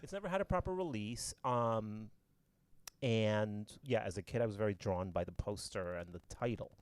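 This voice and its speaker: A man's voice: on the low side, moderate pace, a little mellow, smooth, dry recording. Middle-aged male co-host, sniffly, rambling film buff, dry sound. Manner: chatty, self-deprecating, easily sidetracked. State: sniffling from allergies. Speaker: podcast co-host. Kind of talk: casual chat about films. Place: friend's apartment.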